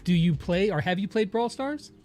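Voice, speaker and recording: A man's voice: sits high, lively intonation, normal speed. A man hosting a casual, conversational interview. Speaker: interview host.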